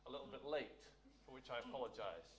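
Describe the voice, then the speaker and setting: A man's voice: medium pitch, fast talker, a little hiss or hum. A man preaching a sermon, often asking the listeners rhetorical questions. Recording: echoey room.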